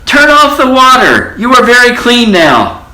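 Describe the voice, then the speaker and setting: Man putting on high-pitched, indignant storybook townsfolk. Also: huge pitch swings, a little slow, echoey room, heavily acted, poor audio. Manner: loud, high, protesting calls. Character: indignant, scolding townspeople and neighbours.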